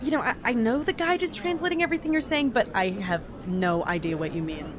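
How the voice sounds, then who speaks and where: A woman playing an exasperated, excitable agent from another reality in an audio drama, stammering and sarcastic, with background noise. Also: fairly high pitch, fairly quick, close to an everyday voice. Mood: weary, annoyed.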